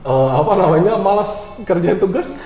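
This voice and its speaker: Echoey reverberant room, man, mellow voice, quick pace, casual interview answers, English terms mixed in. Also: sits high, animated. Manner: informal, colloquial, mixes in English terms. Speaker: interview guest, university lecturer. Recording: echoey room with long reverb, music behind.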